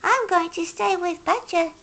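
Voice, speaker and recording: A woman voicing a kind, brave little male hedgehog in a storybook, speaking in a bright, eager, gentle way. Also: very high-pitched, normal speed, creaky, pitched above the performer's own voice, heavily acted.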